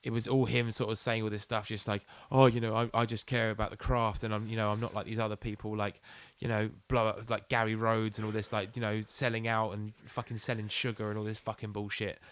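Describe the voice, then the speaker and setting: British guy cohosting a podcast, smooth lowish voice, takes the piss, swears loads, dry close sound. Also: level tone, fairly quick, hardly any pauses, a bit bright, dry recording. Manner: sweary, joking, mocking. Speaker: podcast co-host. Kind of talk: casual comedic chat.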